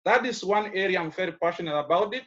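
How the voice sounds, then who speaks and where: A man's voice: sits high, expressive, quick pace, a bit bright. A man with a Kenyan accent giving rambling, casual advice from his office over a video call, with many fillers. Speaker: alumnus speaking at a graduation. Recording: muffled video call audio.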